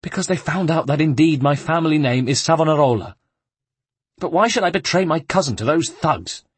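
A man voicing a terrified young monk who pleads and sobs in a high, strained voice. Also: animated, a little fast, slightly rough, no echo. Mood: indignant. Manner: high, wailing and begging.

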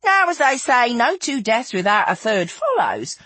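A woman's voice: a little high, very animated, moderate pace, bright, forceful tone, slightly rough, no room sound, voice pushed up, exaggerated voice. A woman voicing a flamboyant, worldly showgirl, gossipy and mock-genteel in an audiobook story.

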